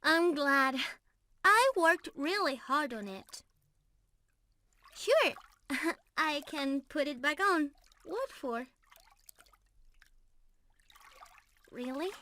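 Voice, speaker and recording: Girl voice actor doing a sweet giggly mermaid for asmr roleplay, really high bright voice, talks slow and loving. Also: exaggerated voice. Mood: soft, bashful. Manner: sweet, giggly, tender, addressing the listener directly. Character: affectionate, playful young mermaid in love, reunited with beloved. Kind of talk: ASMR roleplay, scripted intimate acting.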